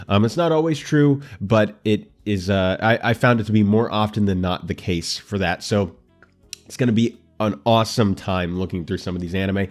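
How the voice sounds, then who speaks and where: A man's voice: on the low side, normal speed, a little mellow, faint music behind. A man hosting a casual anime commentary show, congested and nasal from allergies, with a dry throat, chatting straight to his viewers. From United States.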